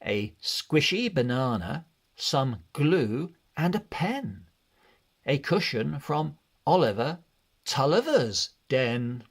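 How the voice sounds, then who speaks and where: Very slow, lively man reading a storybook. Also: medium pitch. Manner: expressive, deliberate, measured delivery. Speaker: children's story reader. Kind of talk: read-along storybook narration.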